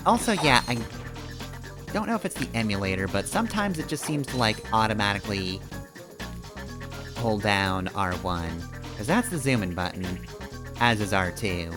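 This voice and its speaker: A man's voice: lowish voice, expressive, normal speed, pauses often. A man streaming a video game with casual, rambling commentary, analytical and wry. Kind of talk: casual live gameplay commentary. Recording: game music behind the voice. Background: video game music.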